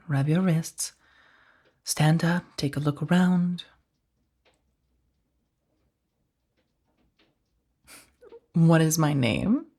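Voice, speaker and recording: A woman playing a powerful, seductive mafia boss in a soft-spoken ASMR roleplay, speaking in a breathy, measured, commanding and teasingly menacing way. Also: on the low side, heavily acted. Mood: composed, indulgent. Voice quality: soft, breathy, often slipping toward whisper. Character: powerful female mafia boss, coolly controlling, seductive kidnapper.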